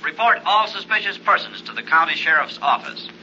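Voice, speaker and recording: An actor playing an urgent, formal news announcer in a 1960s radio drama, clipped and emphatic over a car radio. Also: male voice, fairly high pitch, animated, brisk, bright, forceful tone. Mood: urgent, grave. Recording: dull, faintly noisy vintage radio recording.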